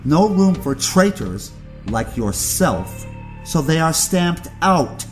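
An actor playing a ruthless, contemptuous aristocratic uncle in an audio drama, speaking in a theatrical, condescending way. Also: male voice, on the high side, sing-song, slow, soft background music. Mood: fiery, imperious.

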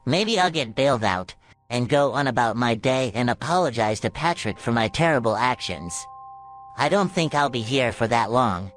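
A jittery young sea sponge, as a man puts him on for a homemade cartoon story. He sounds pitched well above a normal man's voice, whiny and easily rattled, and gets shrill when he is upset. Soft music plays faintly behind him. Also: lively intonation, moderate pace, natural voice, rough recording. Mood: sulking. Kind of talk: fan storytelling.

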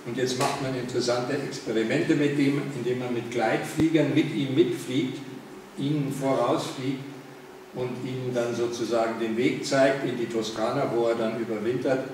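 A man's voice: medium pitch, steady tone, moderate pace, darkish tone. An older man with a Berlin accent giving a public lecture in a large room, talking conversationally with frequent fillers and asides to his listeners. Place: large indoor meeting room. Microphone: far camera, no mic. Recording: echoey room, distant camera, noisy.

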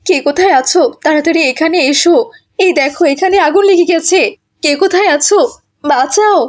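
A woman's voice: extremely high pitch, fairly quick, voice pushed up. A woman voicing a brash, selfish, stubborn wife in a melodramatic cartoon, with a defiant, whining and dramatic delivery. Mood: panicked.

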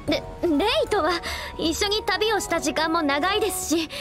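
A polite, high-voiced young princess. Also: female voice, moderate pace. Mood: flustered. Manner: formal polite speech, flustered when teased. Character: polite, earnest young princess, formal and gentle. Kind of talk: Japanese game dub acting. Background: game music.

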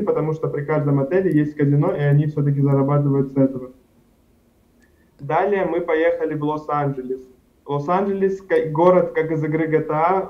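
A young man speaks over a video call from a room at home, his voice a little higher than most men's. The audio is muffled and lo-fi, like a webcam feed. He answers in a loose, rambling way, with lots of fillers and self-corrections. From Karachay-Cherkessia, Russia. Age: young adult. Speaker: studio guest. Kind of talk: casual interview answers. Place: indoors at home, plain wall.

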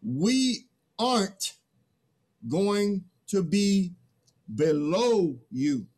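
A man playing a pleading human envoy in a play reading, halting and emphatic. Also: high voice, very animated, a little mellow, exaggerated voice. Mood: proud. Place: video call, indoors.

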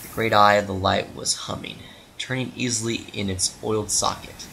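A man's voice: on the low side, fairly even intonation, moderate pace, creaky, bright, forceful tone, slight background noise, poor audio. A man giving a dramatic scripted narration of a story as the narrator of an audio drama.

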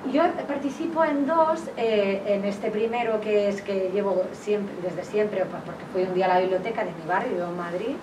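A woman's voice: medium pitch, normal speed, few pauses. Echoey noisy room, distant mic, Castilian Spanish woman, forties, chatty, anecdotal public talk, masked speech. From Valdepeñas, Castile-La Mancha, Spain. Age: 40s. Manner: chatty, hand-gesturing, speaking through a face mask. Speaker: nurse and author presenting her book. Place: indoors, public library reading area. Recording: echoey room, distant microphone, clear background noise.

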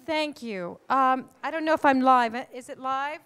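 A woman's voice: sits high, measured pace, fairly bright tone, clean tone. A mature woman hosting on stage, addressing an audience and introducing performers through a handheld microphone. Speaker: event host and playwright thanking the cast. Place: conference stage. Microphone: handheld mic. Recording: live hall, faint crowd and noise.

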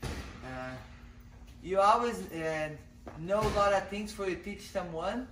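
Woman, Portuguese native, thirties, halting English, echoey gym, background noise. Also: mid-range voice, animated, distant mic. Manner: halting non-native English, repeats and restarts. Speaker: fighter. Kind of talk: casual interview in a gym. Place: large boxing gym.

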